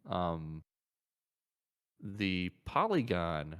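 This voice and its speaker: A man's voice: deep voice, big pitch swings, extremely slow, fairly bright tone, clear, resonant tone. A man co-hosting a casual gaming podcast, thinking aloud hesitantly and often repeating his words. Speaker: podcast co-host.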